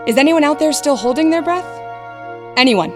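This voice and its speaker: A theatrical stage announcer and magician's wife, played by a woman in an audio drama. Her voice is fairly high, formal and dramatic, with music clearly behind it. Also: expressive, normal speed. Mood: dramatic, ominous. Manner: formal, dramatic address to an audience.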